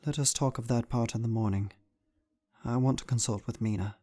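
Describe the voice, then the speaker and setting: A man's voice: medium pitch, fairly even intonation, fairly quick, mellow, no echo, studio quality, natural voice. An actor playing a troubled, devoted young husband, narrating his diary solemnly in a radio play. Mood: hollow, puzzled. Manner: solemn, brooding, reflective.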